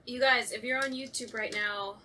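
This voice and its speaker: Bright, higher-voiced woman podcast host. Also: steady tone, normal speed, slight background noise. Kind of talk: casual podcast talk with plugs for listeners.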